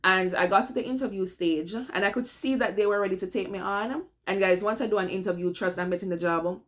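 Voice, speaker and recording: A young woman with a Jamaican accent talks straight to her viewers in a relaxed advice vlog. She keeps it chatty and personal, often checking in with them as she goes. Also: medium pitch, a little fast. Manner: chatty, addresses viewers as "guys", repeats "all right?". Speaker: vlogger giving career tips. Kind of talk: casual advice vlog to camera.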